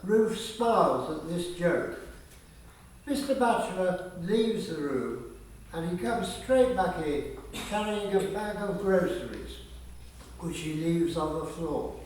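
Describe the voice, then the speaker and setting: A man narrating a scripted radio play in a measured way. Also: high voice, lively intonation, darkish tone. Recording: very echoey, distant, faintly noisy room.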